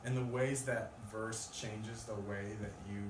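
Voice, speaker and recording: A male poet speaks at a live reading in a room. His voice is picked up from a distance with plenty of echo. There is clear, loud noise over the recording. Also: lowish voice, fairly even intonation, measured pace. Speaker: poet reading own work. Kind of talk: live poetry reading with casual introduction. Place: live event in a room with an audience.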